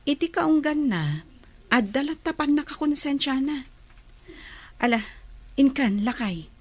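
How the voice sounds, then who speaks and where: A woman playing a compassionate, forgiving wife in a radio drama, sympathetic and softly urging. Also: high-pitched, lively intonation, unhurried.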